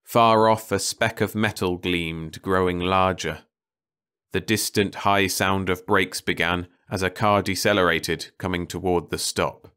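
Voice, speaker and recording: A man's voice: sits low, steady tone, a little slow, a bit bright, clear, resonant tone. A man narrating a short story as a scripted audiobook.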